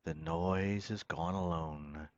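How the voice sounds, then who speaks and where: A man's voice: sits low, fairly even intonation, slow pace, dry recording. A man giving a dramatic poetry reading in a theatrical, solemn, declamatory manner, with dull, muffled sound. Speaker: poetry reader.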